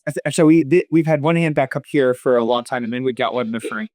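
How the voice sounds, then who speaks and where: A man's voice: medium pitch, fast, darkish tone, no echo, studio quality. A man moderating a live discussion in an informal, conversational way.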